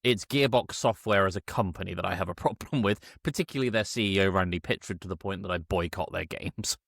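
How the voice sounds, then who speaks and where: A man's voice: sits low, fast talker, gravelly, fairly bright tone, no echo, studio quality. A man hosting a podcast, chatting casually as he reviews films. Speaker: podcast host. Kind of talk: casual film review chat.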